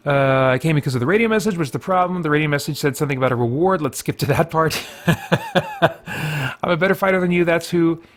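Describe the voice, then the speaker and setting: A man's voice: on the high side, wildly swooping pitch, fairly quick. A man hosting a casual let's play, thinking aloud and rambling as he goes. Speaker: gaming video host.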